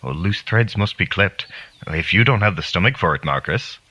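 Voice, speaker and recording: A man's voice: sits low, animated, normal speed, bright, forceful tone, husky, no echo, lo-fi sound, exaggerated voice. An actor playing a sneering, sycophantic cult henchman in an audio drama, needling as he talks. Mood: scornful.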